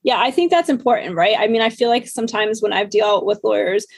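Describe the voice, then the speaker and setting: Woman podcast host, kinda husky and gravelly, chatty, dry close sound. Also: medium pitch, brisk, dry recording. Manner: conversational, lots of "like" and "I mean". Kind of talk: casual interview chat.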